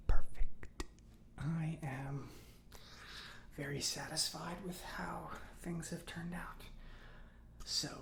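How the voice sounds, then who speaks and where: A man playing an eccentric, kindly mechanic in a soft-spoken ASMR roleplay, with halting, reassuring murmurs and a breathy voice. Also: on the high side, roomy sound, full character voice. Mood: attentive, satisfied. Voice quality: breathy whispering, creaky. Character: eccentric, giddy, kindly male government robot mechanic.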